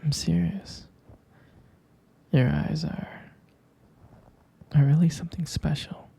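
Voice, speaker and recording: A man playing a tender, doting boyfriend in an ASMR roleplay, murmuring slowly and affectionately in a breathy, husky whisper. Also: a little high, mellow, heavily acted. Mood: tender, adoring. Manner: slow, hesitant, affectionate murmuring with pet names. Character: tender, doting vampire-romance boyfriend soothing partner to sleep.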